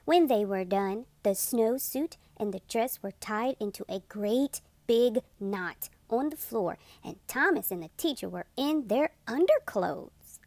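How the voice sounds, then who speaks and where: A woman hosting storytime, reading a children's book in an expressive, deliberate and emphatic narration. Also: fairly high pitch, no echo. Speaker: storytime host and reader.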